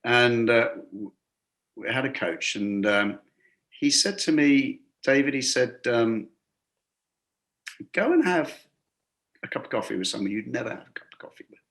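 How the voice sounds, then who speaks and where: A man guesting on an interview show, talking about leadership in a loose, rambling way. He keeps restarting his sentences and slips into quoted dialogue as he tells his stories, at a mid-range pitch for a man. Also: animated, normal speed, long pauses, fairly bright tone. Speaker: guest, hospitality business coach. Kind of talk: conversational interview storytelling. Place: lives and works in a Gulf city.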